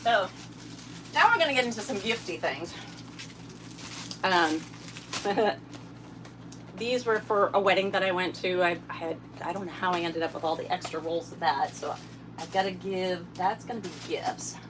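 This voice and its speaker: A woman hosting a casual livestream while tidying her RV, a little hoarse from a summer cold, chatting and thanking viewers by name. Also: lowish voice, normal speed, long pauses, background noise. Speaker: livestream host. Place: inside an RV.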